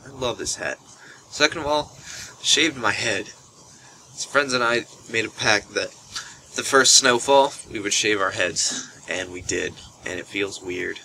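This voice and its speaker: Bright-voiced young man telling stories, noisy audio. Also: a little slow. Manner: animated retelling, acts out quoted speech. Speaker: storytelling vlogger. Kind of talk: casual anecdotal storytelling to camera. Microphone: built-in camera microphone. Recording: noisy low-quality camera microphone.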